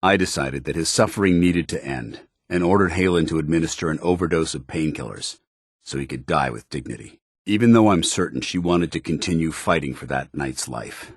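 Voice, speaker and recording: An American man voicing a stern, earnest, duty-bound military commander in a video game, speaking in formal, measured phrases. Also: extremely low pitch, husky, no echo, clean studio sound. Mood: somber, confiding. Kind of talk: video game companion dialogue.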